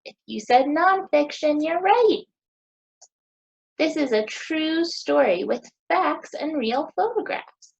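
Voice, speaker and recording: An American woman in her thirties teaching young children, asking questions in a sing-song, encouraging way with deliberate pauses. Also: sits high, expressive, creaky, dull audio. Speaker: teacher hosting a children's story time.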